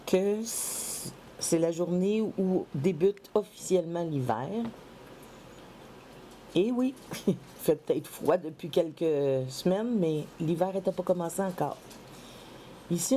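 A woman from Quebec with a head cold, chatting casually while decorating a planner, halting with many fillers. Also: lowish voice, warm tone, slight background noise. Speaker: planner vlogger. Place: home craft table, indoors.

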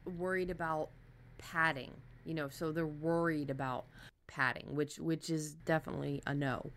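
Low-pitched woman explaining in casual conversation. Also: fairly even intonation, moderate pace, fairly bright tone. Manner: explanatory, illustrates points with made-up examples. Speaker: podcast host. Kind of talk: casual advice discussion about fiction writing.

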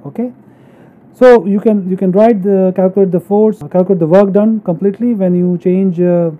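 Phone-like recording of a halting male lecturer. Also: high voice, fairly even intonation. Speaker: engineering lecturer. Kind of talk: explanatory technical lecture over slides. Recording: narrow phone-like sound.

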